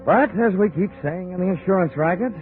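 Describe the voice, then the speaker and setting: An actor playing a cynical, wisecracking insurance investigator, cool under pressure, in 1940s radio detective style. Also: male voice, sits high, animated, brisk, soft background music. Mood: sardonic. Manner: wry, hard-boiled. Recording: noisy, tinny 1940s radio recording.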